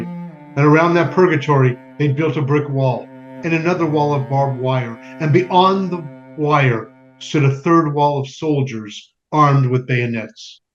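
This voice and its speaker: Male actor as grave husband and father, solemn first-person stage narration, measured, dull recording, music behind. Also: mid-range voice, close to an everyday voice. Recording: dull band-limited sound under music.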